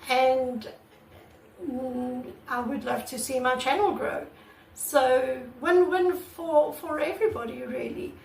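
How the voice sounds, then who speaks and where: A woman artist with a croaky voice, talking casually and earnestly to her channel's viewers, recorded on a camera mic without a proper microphone. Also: high voice, steady tone, slow pace, distant mic, slight background noise. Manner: earnest and repetitive, many restarts. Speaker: artist vlogger. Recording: echoey room, away from the mic.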